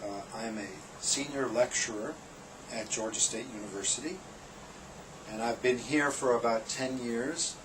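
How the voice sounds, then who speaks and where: A man's voice: mid-range voice, moderate pace. A man, a senior lecturer, introducing himself for an online course in a friendly way with small restarts. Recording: clear background noise.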